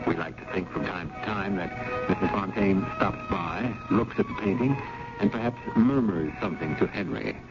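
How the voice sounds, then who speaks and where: Deep-ish guy narrating old radio mystery, slow dramatic pauses, music behind, muffled. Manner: measured, deliberate, dramatic pauses. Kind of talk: theatrical scripted narration, 1970s radio. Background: prominent music.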